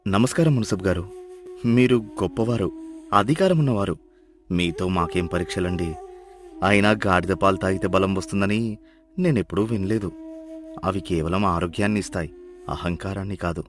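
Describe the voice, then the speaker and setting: A man playing a humble, clever donkey herder, speaking politely and with quiet, measured reasoning in a moral story. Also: sits low, close to an everyday voice. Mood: calm, respectful. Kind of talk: dramatised moral story dialogue. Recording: music behind the voice.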